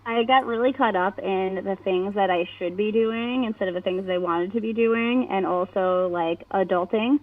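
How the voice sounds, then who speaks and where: A woman's voice: mid-range voice, moderate pace, few pauses. A woman in her thirties from New Jersey with a New Jersey accent, a nasal voice and a chatty manner full of fillers, talking as a guest in an interview. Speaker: podcast guest. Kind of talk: casual interview chat. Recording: phone-like narrow band.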